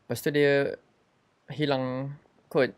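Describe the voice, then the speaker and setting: A man's voice: mid-range voice, level tone, slow pace, clear, resonant tone. A man with a Malaysian accent giving casual, slangy live game commentary and offhand reactions. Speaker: game livestreamer. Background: game audio and soft music.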